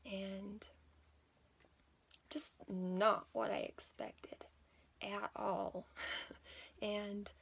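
Woman, thirties, gravelly fry, medium pitch, halting casual storytelling, frequent self-corrections. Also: little pitch movement, a bit bright, in a whisper. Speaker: vlogger telling a personal story. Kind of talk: casual, unscripted personal storytelling. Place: small plain room indoors at home. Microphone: phone or laptop at arm's length.

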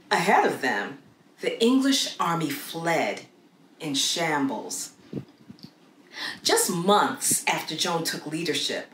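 A woman narrates a children's storybook in a slightly low, very animated voice. She places her emphasis deliberately and dramatically. The room is echoey, with noticeable noise behind her. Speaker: storybook read-aloud narrator. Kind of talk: scripted narration, children's read-aloud story. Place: small plain room, indoors. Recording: roomy echo with clear background noise.

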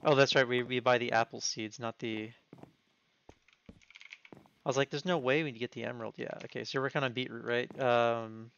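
A young man speedrunning a game, chatting quickly and giving practical directions to his teammate. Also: medium pitch, steady tone, gravelly, a bit bright, clean tone. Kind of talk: casual gaming chat with teammate. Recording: slightly dull mic with faint noise.

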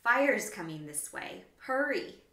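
A woman voicing an eager, polite young female wallaby with a squeaky voice in a children's storybook. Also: big pitch swings, takes it slowly, creaky, pushed and bright, far from the mic, exaggerated voice. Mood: frightened, urgent. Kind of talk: children's storybook read-aloud. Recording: roomy, echoing indoor room.

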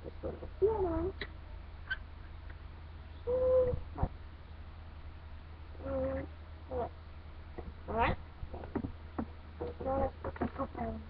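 A woman giving halting, step-by-step instructions in a hands-on toy building tutorial. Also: high voice, sing-song. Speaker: toy review host. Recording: muffled phone-like audio, clear background noise.

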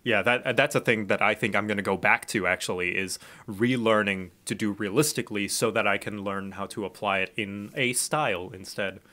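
A man who grew up in Thailand talks through his ideas on a casual podcast. His voice sits low, and he meanders thoughtfully, restarting phrases as he goes. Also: steady tone, fairly quick, hardly any pauses, bright, forceful tone. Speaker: podcast guest artist. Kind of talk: casual chat.

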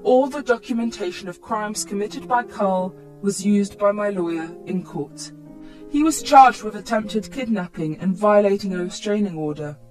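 A woman's voice: medium pitch, normal speed, darkish tone, faint music behind, low-quality recording. A woman reading a scripted first-person dramatic story as a storytime narrator.

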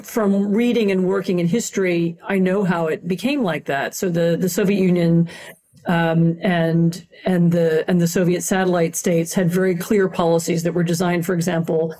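A middle-aged woman with a low voice answers at length as a podcast guest. She explains in a measured, thoughtful way. Her sentences are threaded with "um" and "you know". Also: fairly even intonation, warm tone. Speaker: guest historian.

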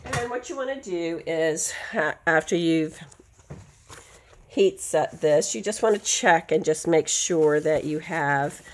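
Woman, low pitch, nasal, chatty craft teacher, rambling asides, sewing table at home. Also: animated, a little slow, slight background noise. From United States. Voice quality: nasal from allergies. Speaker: quilting teacher. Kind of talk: casual craft tutorial.

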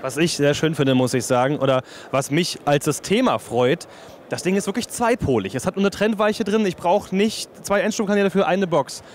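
A man's voice: on the high side, lively intonation, a little fast. A young man working as an interviewer, chatting casually and informally about technical gear with his own opinions. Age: young adult. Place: busy trade fair booth in a large hall. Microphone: handheld interview microphone. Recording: clear trade fair hall noise.

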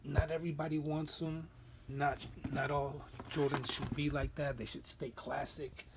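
A man's voice: fairly high pitch, little pitch movement, measured pace, background noise. A man hosting a casual sneaker unboxing vlog, talking in a loose, rambling way with plenty of slang, recorded on a phone camera in a room stacked with shoe boxes. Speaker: sneaker reviewer.

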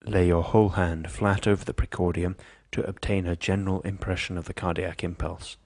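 A man reading scripted medical instruction in a measured, precise, impersonal manner. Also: deep voice, little pitch movement, darkish tone, dry recording. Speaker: instructional narrator.

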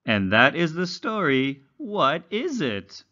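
A man's voice: a little high, huge pitch swings, slow, bright, forceful tone, dull audio. A man teaching young children in a read-along lesson, enunciating carefully with exaggerated, instructional intonation. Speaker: English teacher for young learners.

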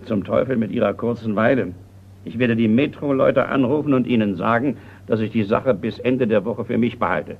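An actor playing an impatient, indignant retired colonel, older and gruff, in a radio crime play. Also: male voice, medium pitch, moderate pace. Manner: blustering, indignant. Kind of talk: radio crime drama acting. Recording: slightly muffled radio play recording.

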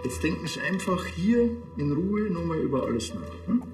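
A man's voice: a little high, sing-song, normal speed, reverberant. Small-town Bavarian policeman, dry and sarcastic, music underneath. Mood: sardonic. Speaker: actor in a TV police series. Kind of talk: crime comedy drama dialogue.

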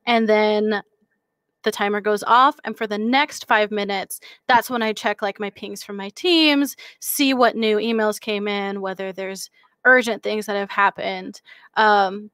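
A woman's voice: mid-range voice, a bit bright, smooth, dry recording, studio quality. A woman in her twenties, a guest on a video call chat, explaining things earnestly. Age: 20s. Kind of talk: casual chat.

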